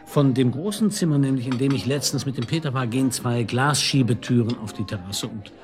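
A man's voice: medium pitch, fairly quick, soft background music, close to an everyday voice. Wry police chief, played by a man, dry and ironic. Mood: brisk, businesslike. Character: wry, sardonic male police detective chief. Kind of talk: naturalistic German radio drama acting.

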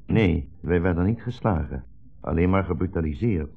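An actor playing a mild, patient old blind rabbi in a 1960s radio play, speaking politely and with measured precision. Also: male voice, sits low, vocal fry, faint music behind. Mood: subdued. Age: older. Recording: muffled old radio recording, narrow band.

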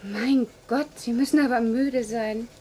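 An actress playing a cheeky, flippant, fashionable secretary in a German science-fiction radio play. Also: female voice, a little high, fairly even intonation, a little slow, a little mellow, lightly acted. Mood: cheeky, breezy. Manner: flippant, matter-of-fact. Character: breezy, cheeky, modish office secretary. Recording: vintage radio recording, faint noise.

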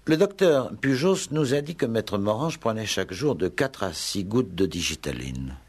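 Male tactful police inspector, vintage radio play; low, husky, deliberate and courteous. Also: big pitch swings, exaggerated voice. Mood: tactful, probing. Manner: polite, deliberate questioning. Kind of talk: 1960s French radio drama acting.

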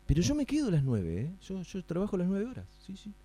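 Man acting radio drama worker, male, Argentine accent, very animated pitch, mellow, put-upon, stammering, evasive, complaining. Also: a little fast, heavily acted. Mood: defensive. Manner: stammering, apologetic, repeats himself.

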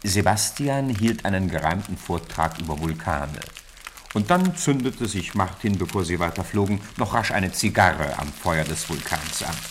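A man's voice: deep voice, expressive, normal speed, fairly bright tone, a little hiss or hum. A man narrating a children's radio play in scripted storybook style.

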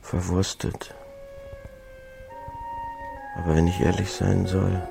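A man's voice: low-pitched, completely flat delivery, slow pace, vocal fry. A man delivering a reflective spoken radio essay, musing and rephrasing as he goes. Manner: musing, repeats and rephrases phrases. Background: music.

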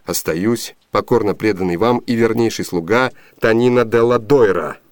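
A male actor playing an impatient, lovestruck gentleman master in a radio play, commanding and earnest, with theatrical delivery. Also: lowish voice, moderate pace, dry recording, clean studio sound, close to an everyday voice. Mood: reading aloud. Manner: imperious, declamatory, crisp orders. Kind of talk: theatrical 1980s Soviet radio drama acting.

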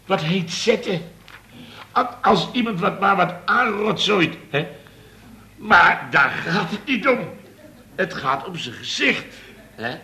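Blustery dutch boss in old radio comedy, grumbling, super sharp voice, swings up and down. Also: male voice, sits high, animated, slow, pauses often, exaggerated voice. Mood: indignant. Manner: exclamatory, grumbling, tags sentences with "hè" and "zeg". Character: blustering, indignant male boss of a building firm.

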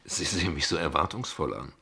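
Gravelly, low-voiced, guarded father. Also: expressive, pushed and bright, full character voice. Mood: dry, amused. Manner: dry, ironic, formal, deliberate. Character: guarded, ironic father, courteous but defensive. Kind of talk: German radio play dialogue acting.

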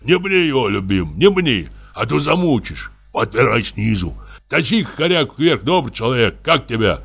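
A man voicing a gruff, cheeky peasant carpenter with a folksy, grumbling manner in a story. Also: mid-range voice, big pitch swings, moderate pace, fairly bright tone, pitched above the performer's own voice, full character voice. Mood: haggling, grumbling.

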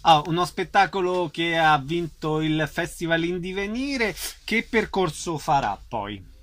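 Male interviewer, fairly high voice, concise introductions and questions. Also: lively intonation, a little slow, a bit bright. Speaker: radio interviewer.

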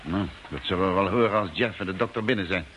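A man acting a gruff, laconic spaceship crewman with a terse, dry manner in an old radio play. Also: sits low, fast talker, a bit bright. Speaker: radio drama actor. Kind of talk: mid-century Dutch radio play acting. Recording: old radio recording, faint hiss.

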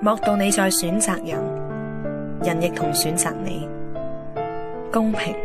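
A woman's voice: on the low side, close to an everyday voice. A woman playing a young, guarded and wry Hong Kong working woman in a Cantonese radio drama, reflective and slipping in English words. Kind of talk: radio drama narration and dialogue. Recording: background music under the voice.

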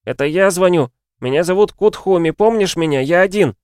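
A man's voice: medium pitch, normal speed, no room sound, studio quality, voice pushed up, natural voice. Man voicing a frightened, sobbing young boy, pleading. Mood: wailing. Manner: desperate, pleading, crying out. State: crying.

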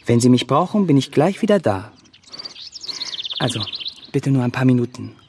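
A man's voice: mid-range voice, very animated, normal speed, noisy background. An actor playing a polite, attentive male carer with a soothing, orderly manner in a radio crime play. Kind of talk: German radio play acting. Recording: loud outdoor birdsong behind.

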